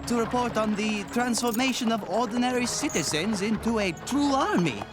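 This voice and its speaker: A man's voice: extremely high pitch, moderate pace. A gallant nobleman. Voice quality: gallant, flowery. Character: dashing young French nobleman, a general. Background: music score.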